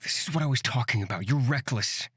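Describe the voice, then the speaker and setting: A man's voice: mid-range voice, quick pace, fairly bright tone, husky, dry recording, clean studio sound. A man playing an aloof, irritable young man who scolds curtly in a fantasy visual novel. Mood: exasperated. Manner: curt, exasperated.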